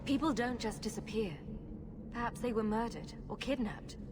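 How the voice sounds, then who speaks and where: A woman's voice: fairly high pitch, moderate pace, lightly acted. An actress playing a sarcastic, flippant female rogue in a video game, with a wry, breezy manner. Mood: inquiring. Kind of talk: video game dialogue acting. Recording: clear noise behind the voice.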